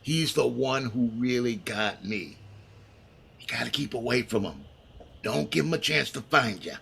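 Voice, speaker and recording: An actor playing a scheming, vengeful condemned gangster with tough slangy talk, in the manner of a 1930s radio crime drama. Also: male voice, mid-range voice, animated, measured pace, creaky, sharp tone, slight background noise, full character voice. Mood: coaxing, menacing.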